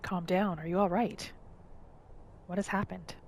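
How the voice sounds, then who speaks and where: A woman voicing a calm, reserved wood elf huntress who speaks in a measured, slightly distant way. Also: fairly low pitch, slight background noise. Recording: voice over loud game music.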